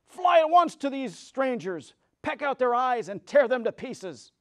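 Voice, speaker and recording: A man's voice: very high-pitched, big pitch swings, a little slow, a bit bright, pitched above the performer's own voice, full character voice. A man voicing a furious, wicked witch in a storybook reading, commanding and menacing. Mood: angry, commanding.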